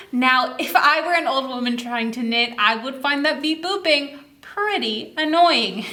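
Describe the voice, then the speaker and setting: A woman's voice: high-pitched, sharp tone. A woman hosting a children's story time, reading aloud and talking directly to young listeners with questions and prompts. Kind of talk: animated read-aloud and chat to young children.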